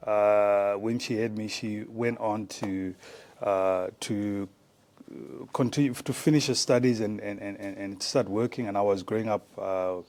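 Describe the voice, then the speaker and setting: Guest, male, 40s, from Zimbabwe; lower voice, relaxed and searching for words. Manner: halting, many fillers and restarts. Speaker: guest, former rugby player. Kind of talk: casual interview chat.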